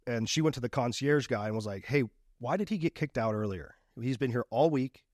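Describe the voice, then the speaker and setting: A man's voice: medium pitch, lively intonation, a little fast, darkish tone, no room sound, studio quality. A middle-aged man with a slightly stuffy, congested voice from a cold, chatting casually as a podcast co-host and swearing bluntly. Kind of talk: casual chat and storytelling.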